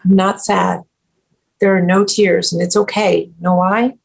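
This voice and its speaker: A woman reading the part of an aging, fiercely protective ranch grandmother at a screenplay table read, in a hushed, firm voice. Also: on the low side, level tone, a little slow, vocal fry, slightly rough, full character voice. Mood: tender resolve.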